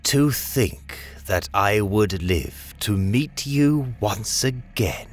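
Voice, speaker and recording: A man's voice: lowish voice, expressive. An actor playing a gloating, theatrical lord of a planet, drawing out his words in an audio drama. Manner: drawn-out, theatrical.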